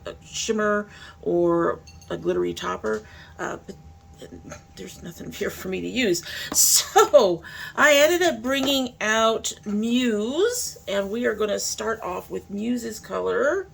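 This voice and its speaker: Chatty woman with a creaky voice. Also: medium pitch, a little slow, a little hiss or hum. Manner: informal, chatty, thinking aloud. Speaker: beauty vlogger, host. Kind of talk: casual makeup review, chatting to camera.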